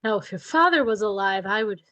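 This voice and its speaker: A woman's voice: mid-range voice, animated, a little fast, pushed and bright. A woman playing a fretful, timid widow innkeeper and mother in a radio play. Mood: plaintive, fearful. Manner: plaintive.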